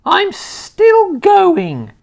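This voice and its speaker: Storybook role: screechy old grandma, gleeful and greedy, way up high and loud, voice twisted out of shape. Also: female voice, huge pitch swings, very slow, gravelly, heavily acted. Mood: exultant. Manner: shrieking and whooping.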